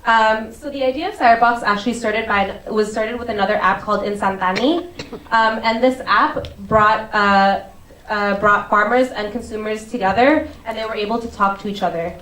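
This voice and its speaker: A young woman from Singapore giving a relaxed, friendly stage talk to an audience as a business development head, with plenty of ums and uhs. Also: medium pitch, moderate pace. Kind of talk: presentation talk to a live audience. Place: event stage before an audience. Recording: roomy venue sound, distant mic, faint ambience.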